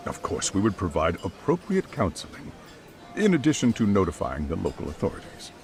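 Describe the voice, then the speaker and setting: A low, gravelly, formal school chairman. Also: huge pitch swings, over music, exaggerated voice. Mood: grave, measured. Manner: measured, polite, hesitant. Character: formal, cautious, burdened school chairman, middle-aged man. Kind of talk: English dub of a video game cutscene.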